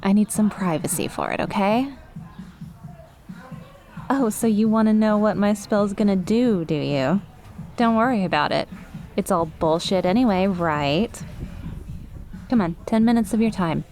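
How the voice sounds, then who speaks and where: A woman's voice: mid-range voice, animated, measured pace, pauses often, a little mellow, slight background noise. A woman playing a cute, playful witch in an ASMR roleplay, speaking confidently and coaxingly straight to the listener. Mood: teasing, challenging. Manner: conversational, teasing, coaxing.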